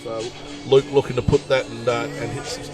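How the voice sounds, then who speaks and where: A man calls a bowls match in an easygoing, chatty way at a middling pitch. He fills pauses with lots of ums and uhs. Music plays faintly behind him outdoors. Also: level tone. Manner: easygoing, chatty, many fillers. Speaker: lawn bowls commentator. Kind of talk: casual sports commentary.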